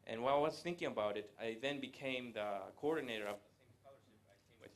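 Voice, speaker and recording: A man, giving a live presentation to an audience in a conversational way, pausing often to hesitate. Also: medium pitch. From Latin America. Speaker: guest speaker. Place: event room with a live audience.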